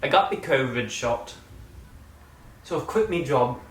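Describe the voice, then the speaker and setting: A man's voice: medium pitch, animated, unhurried. Guy doing a chatty vlog update, kinda theatrical and jokey, sounds far from the mic in a roomy space w/ faint background hum. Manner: playful, theatrical, jumps between loud and quiet; slips into a put-on British-style accent at times. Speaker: channel host. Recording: roomy, distant mic, faint room noise.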